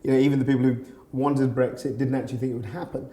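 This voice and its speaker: A man's voice: mid-range voice, flat delivery, fast, dark tone, reverberant. A man interviewing a guest in a chatty, jokey way. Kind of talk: interview questions.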